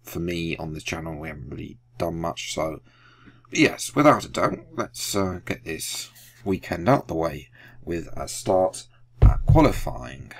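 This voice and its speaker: A man's voice: low voice, big pitch swings, measured pace. A man hosting a racing-game video, talking casually over gameplay, sniffly from hay fever and often correcting himself. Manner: rambling, self-correcting, apologetic. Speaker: gaming video host.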